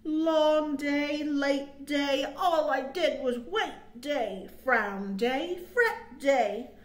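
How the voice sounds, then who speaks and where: High-voiced American woman reading to young children. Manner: sing-song, drawn-out phrasing, asking questions to young children. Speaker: children's librarian, storytime host. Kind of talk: storytime read-aloud and counting rhymes for children. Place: small quiet room indoors. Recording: roomy webcam sound.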